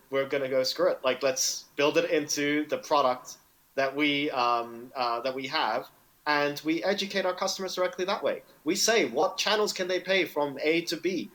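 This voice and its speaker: A man's voice: on the high side, fairly bright tone, low-quality recording. A man who grew up in Indonesia, speaking as a fintech executive answering interview questions in a loose, conversational way, tagging sentences with "right?" and "you know". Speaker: fintech product executive, guest.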